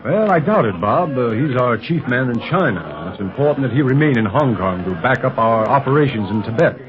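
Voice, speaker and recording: A level-headed agent leader played by a man speaks calmly and assuredly. His voice sits in a middle male range. The old radio sound is dull and muffled. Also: mid-range voice, brisk, crowd noise, natural voice. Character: steady adult male secret agent leader. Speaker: hero's uncle and agent. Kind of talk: 1930s radio acting. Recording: muffled vintage radio recording.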